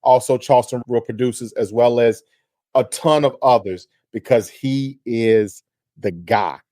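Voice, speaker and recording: A man hosting a real estate radio show, talking in an energetic, effusive way as he hypes up his guest. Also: mid-range voice, a little slow, no echo, clean studio sound. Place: radio studio.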